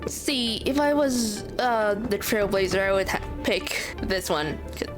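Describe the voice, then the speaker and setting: A woman's voice: mid-range voice, measured pace. A woman streaming a game, giving casual playthrough commentary and reading choices aloud. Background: game music.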